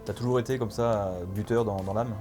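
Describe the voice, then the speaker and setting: A man's voice: lowish voice, fairly even intonation, fairly quick, over music. A man interviewing a new player for the club, leading with prepared questions and stumbling now and then. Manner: leads with set-up questions, occasional stumbles.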